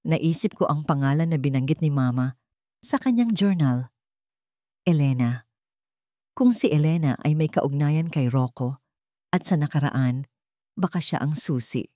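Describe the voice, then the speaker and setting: A woman narrates a scripted drama in the first person. Her voice sits low, and the recording is dry, with very little room around it. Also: expressive, measured pace, pauses often, warm tone, dry recording. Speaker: story narrator.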